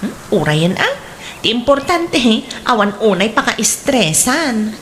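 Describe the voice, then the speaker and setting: A woman plays a confident young Ilocano bride in a radio drama. Her fairly high voice is easygoing and conversational, recorded with faint background noise. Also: big pitch swings, a little slow. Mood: content.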